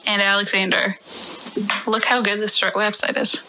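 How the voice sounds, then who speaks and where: A woman's voice: mid-range voice, level tone, normal speed, bright, forceful tone, slight background noise, poor audio. A woman co-hosting a comedy podcast, mixing dramatic reading with casual banter.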